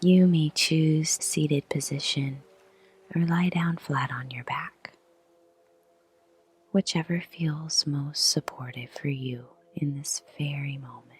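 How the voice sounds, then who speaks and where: A woman guiding a meditation in a soft whisper, with a slow, soothing delivery and long pauses. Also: low voice, a little hiss or hum. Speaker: meditation guide. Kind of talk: guided meditation.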